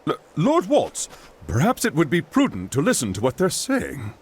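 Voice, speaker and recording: An actor playing a nervous, formal servant to a villain lord in a game cutscene. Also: male voice, a little high, huge pitch swings, moderate pace, husky, heavily acted. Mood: deferential. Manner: formal and fawning, stammers. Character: nervous, deferential male henchman. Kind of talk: video game cutscene acting.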